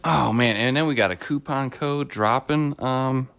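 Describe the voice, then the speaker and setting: A middle-aged man hosting a casual livestream from his home table, rambling and self-deprecating, his voice deepened while getting over an illness, recorded on a phone. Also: a little slow, fairly bright tone, clean tone. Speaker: streamer host. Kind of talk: casual livestream chat while painting miniatures. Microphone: phone on a clamp arm.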